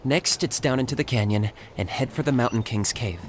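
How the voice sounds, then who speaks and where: A man voicing a calm, confident young warrior in a video game, terse and matter-of-fact. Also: medium pitch, fairly quick, over music, lightly acted. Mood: steady.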